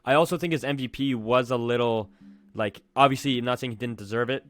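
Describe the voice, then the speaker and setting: Fast-talking young male sports podcaster. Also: medium pitch, a bit bright, dry recording. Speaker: podcast co-host. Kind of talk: casual sports debate.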